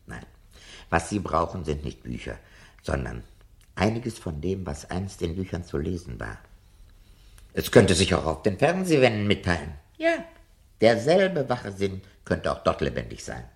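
An old, disillusioned man of books in a radio drama. His deep voice is gravelly and swings widely in melody. He speaks theatrically, brooding and sardonic, like a self-confessed coward looking back. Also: huge pitch swings, a little slow, exaggerated voice. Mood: probing, earnest. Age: older. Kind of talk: 1970 German radio drama acting.

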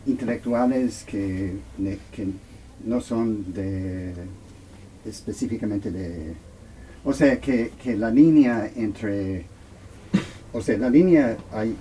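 A man speaking Spanish as his second language, haltingly searching for words as he puts a question at a talk. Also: on the low side, mellow, slight background noise, low-quality recording. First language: not Spanish, Spanish as second language. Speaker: audience member asking questions. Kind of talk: unscripted question at a book talk.

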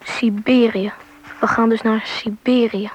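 A woman's voice: a little high, flat delivery, measured pace, darkish tone, a little hiss or hum, natural voice, rough recording. A woman playing a curious, anxious schoolboy who narrates and acts in a Dutch radio play. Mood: narrating, wondering.

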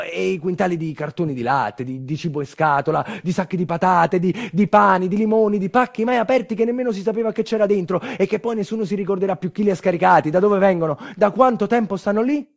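An actor playing a bitter, resigned earthquake survivor in a theatrical storytelling monologue, sardonic and folksy, with wry asides. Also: male voice, high voice, creaky. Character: bitter, resigned southern Italian earthquake survivor telling his tale.

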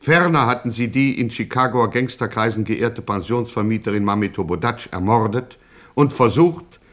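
A man's voice: mid-range voice, normal speed, a bit bright. A man narrating a radio crime satire in a scripted mock-epic style, pompous and grandiose.

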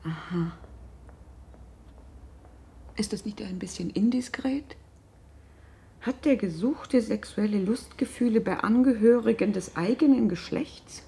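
An actress playing a worried, wealthy middle-aged mother, husky and breathy, formal and reserved, in a naturalistic radio drama. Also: mid-range voice, a little slow, long pauses, warm tone, full character voice. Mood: cool, formal.

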